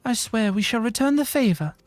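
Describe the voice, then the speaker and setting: A man's voice: very high-pitched, expressive, fairly quick, no echo, pitched above the performer's own voice. A man voicing a gracious, courteous refugee noblewoman from a fantasy game, with formal, archaic phrasing. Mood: humble, earnest. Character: refined, gracious, courteous woman leading refugees.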